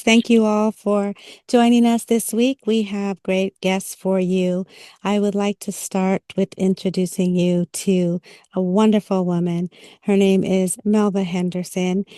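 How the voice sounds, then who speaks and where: A woman co-hosts a relaxed conversation. Her voice is dark and mellow at a middle pitch, recorded very dry. She is affirming and effusive as she talks. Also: no echo. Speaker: interview show co-host. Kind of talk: casual interview hosting.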